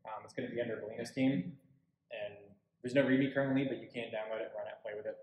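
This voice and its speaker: A man's voice: mid-range voice, steady tone, fast, darkish tone. Man presenting in a very echoey room. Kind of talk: informal technical presentation. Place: large conference room, indoors. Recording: very echoey room, distant mic, faint noise.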